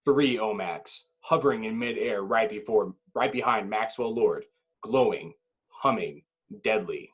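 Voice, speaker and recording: A man's voice: on the low side, measured pace, lo-fi sound. A man narrating a screenplay's action with intense, clipped dramatic phrasing. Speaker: narrator reading stage directions.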